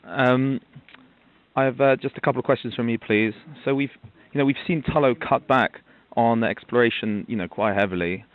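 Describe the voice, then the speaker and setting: A man's voice: medium pitch, moderate pace. A man asking an oil company questions on a conference call, polite and somewhat hesitant. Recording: tinny phone line.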